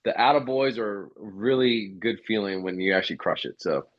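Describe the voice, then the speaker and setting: Man, lowish voice, agreeable, short remarks, casual talk, dry and muffled. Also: normal speed, no echo. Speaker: podcast guest. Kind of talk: casual podcast conversation.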